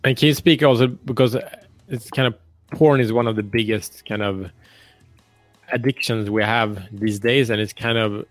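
A man's voice: on the low side, fairly even intonation, normal speed, dry recording, soft background music. A man hosting a motivational interview show, speaking earnestly and repeating words for emphasis. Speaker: podcast host interviewing a guest.

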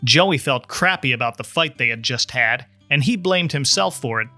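A man's voice: mid-range voice, animated, normal speed, sharp tone, dry recording, faint music behind. A man, a podcaster, reading in a scripted storytelling style. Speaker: podcaster, guest reader. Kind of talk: podcast promo and audiobook-style narration.

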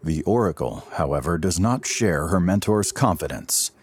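A man narrating an audio drama in a theatrical, deliberate storytelling manner. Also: sits low, animated, a little hiss or hum.

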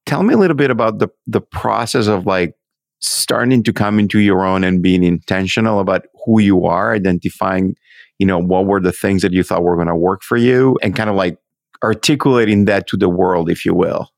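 Deep, creaky-voiced man. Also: brisk, no room sound, studio quality. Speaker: interviewer, host. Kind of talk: reflective conversational interviewing.

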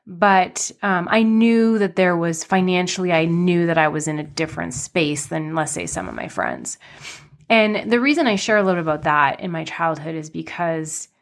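A woman's voice: on the low side, normal speed, gravelly. A woman in her thirties hosting her podcast, telling her life story in a chatty, rambling way, slightly nasal from a cold. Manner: chatty, rambling with many fillers and restarts. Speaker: podcast host. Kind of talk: casual personal storytelling.

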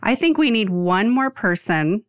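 A woman's voice: mid-range voice, animated, a little slow, no room sound, studio quality. A woman co-hosting a podcast in casual chat, with a blunt, teasing manner. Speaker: podcast host.

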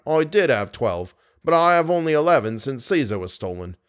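A man's voice: fairly high pitch, big pitch swings, moderate pace, smooth. A man voicing an irritable, stubborn head riding master in a story, dry and insistent.

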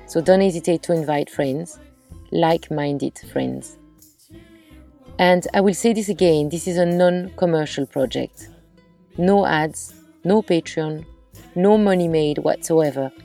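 Low, gravelly-voiced woman hosting a podcast. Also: a little slow, dark tone, faint music behind. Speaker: podcast host and narrator. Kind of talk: scripted podcast narration.

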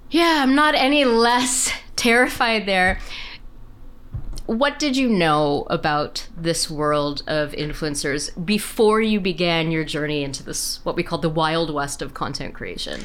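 A woman in her forties hosting a podcast, chatty and expressive, sometimes laughing as she asks questions. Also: deep voice, measured pace. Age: 40s. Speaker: podcast host. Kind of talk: casual podcast interview.

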